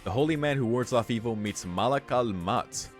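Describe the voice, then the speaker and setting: A man's voice: mid-range voice, animated, normal speed, soft background music. A man reading visual novel narration aloud as a let's play host, with casual asides.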